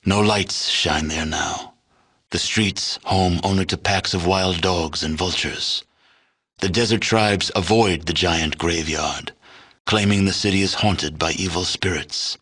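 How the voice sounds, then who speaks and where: An actor playing a grave, world-weary storyteller narrating a post-apocalyptic video game in a measured, solemn, deadpan way. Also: male voice, low voice, slightly rough, heavily acted.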